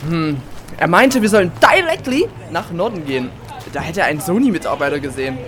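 A man's voice: fairly high pitch, big pitch swings, a little fast, a bit bright. A man playing a timid, defensive young anime fan in an amateur audio drama.

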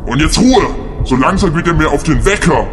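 A man's voice: a little high, lively intonation, a little fast, natural voice. A man playing a mysterious unseen summoner in a comedic audio drama, solemn in his announcements but prone to exasperated grumbling. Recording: music behind the voice.

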